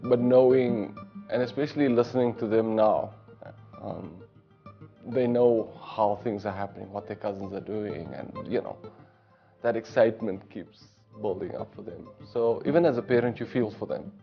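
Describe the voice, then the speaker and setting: A man's voice: fairly low pitch, normal speed, creaky, highs cut off, soft background music. A man in his forties with a South African accent, Afrikaans his first language, reflecting in an interview with many fillers. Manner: reflective, warm storytelling with frequent fillers. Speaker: diplomat. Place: living room, indoors. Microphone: lapel.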